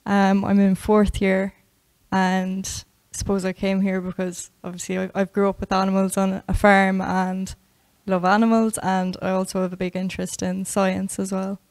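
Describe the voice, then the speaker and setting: A young Irish woman speaks in a soft, hesitant way, with a very flat, even pitch. She is a veterinary student answering questions in a studio interview. The sound is close and dry. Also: moderate pace, no room sound. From west of Ireland. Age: 20s.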